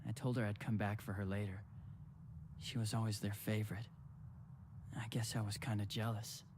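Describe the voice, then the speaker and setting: A man's voice: fairly low pitch, little pitch movement, normal speed, background noise, close to an everyday voice. A man voicing a blunt, hot-headed young man who protects his younger sister. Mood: remorseful. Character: brash protective young man, older brother. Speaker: young hero of the story.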